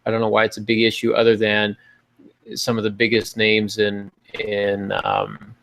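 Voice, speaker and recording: A man's voice: fairly low pitch, creaky. A man hosting a podcast, talking casually and explaining things in an informal, conversational way. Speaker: podcast host.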